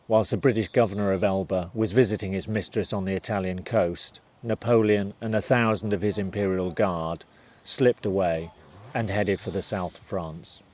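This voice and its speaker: A man's voice: on the low side, a little mellow. A man reading scripted narration for a historical documentary.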